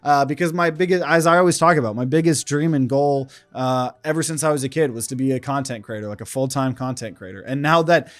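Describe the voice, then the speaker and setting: A man's voice: medium pitch, fast, hardly any pauses. A man, talking to camera in a loose, rambling vlog full of fillers and restarts. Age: 20s. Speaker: content creator. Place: indoor room. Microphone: camera at desk.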